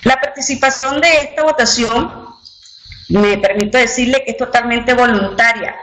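A woman's voice: fairly high pitch, moderate pace, a bit bright. A woman presenting at an online company event, courteous and formal, with filler words. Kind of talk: webinar presentation. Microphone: computer mic on a web conference. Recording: roomy web conference audio, faint noise.

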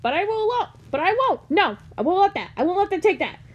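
A woman voicing a naive, gentle young robot, earnest and hesitant, in an amateur comic dub. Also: extremely high pitch, big pitch swings, fast, dull audio, pitched above the performer's own voice. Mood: panicked, pleading.

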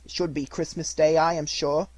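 Mrs Cratchit, a shrill scornful mother, as a man puts her on. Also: flat delivery, normal speed, darkish tone, no echo, lightly acted. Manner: bitter, scornful. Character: shrill indignant poor mother, sharp-tongued.

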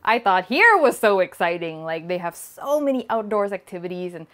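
A woman from Thailand in her thirties chats to camera in her home kitchen. She talks in a lively, friendly way and laughs easily as she answers viewer questions. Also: mid-range voice, moderate pace. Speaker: cooking channel host. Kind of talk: casual Q&A vlog. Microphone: small lapel mic.

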